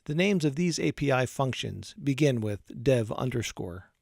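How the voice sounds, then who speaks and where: A man narrating a scripted technical tutorial as an instructor, explaining in a measured, methodical way. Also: medium pitch, expressive, gravelly, darkish tone, dry recording, clean studio sound.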